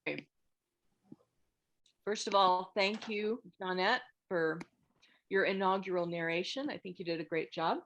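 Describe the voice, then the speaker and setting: A woman's voice: fairly low pitch, measured pace, long pauses, a bit bright. Woman hosting a casual feedback call. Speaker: host running the feedback session. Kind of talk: casual moderating. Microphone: video call.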